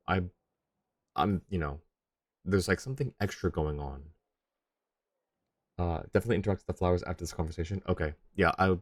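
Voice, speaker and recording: A man's voice: low-pitched, dry recording. A man streaming a video game with casual, rambling commentary, thinking aloud. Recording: faint game music behind.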